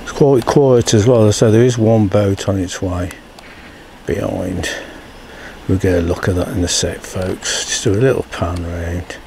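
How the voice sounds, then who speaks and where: A man's voice: low voice, steady tone, a little slow, gravelly, warm tone, slight background noise. An older English man chatting casually as he rides along a canal towpath, filming for his own vlog. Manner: chatty, keeps saying folks and follow me. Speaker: vlogger. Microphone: cabled mic while riding.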